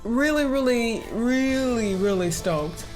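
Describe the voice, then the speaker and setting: A woman's voice: fairly high pitch, animated, slow pace, darkish tone. A woman hosting a music reaction in casual chat, effusive and repeating words for emphasis.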